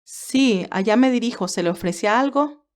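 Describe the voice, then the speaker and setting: A woman acts out an obliging country farmer from an audio story. The voice is lifted a little in pitch. The farmer talks in a friendly, plain-spoken way. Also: normal speed, a little mellow, lightly acted. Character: obliging male farmer driving a milk cart.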